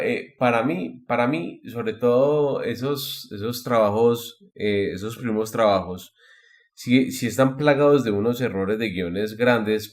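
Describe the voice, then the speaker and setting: Slightly low-voiced, emphatic podcast man. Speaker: podcast co-host. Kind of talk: casual opinionated discussion.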